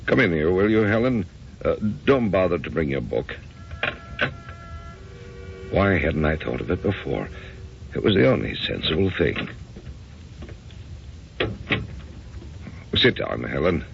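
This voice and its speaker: An actor in a radio drama playing a staid, matter-of-fact widowed businessman around sixty, brisk and slightly awkward. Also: low-pitched, big pitch swings, vocal fry, a little hiss or hum, exaggerated voice. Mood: businesslike. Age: 60s. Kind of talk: old-time radio drama acting. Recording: muffled old radio broadcast recording.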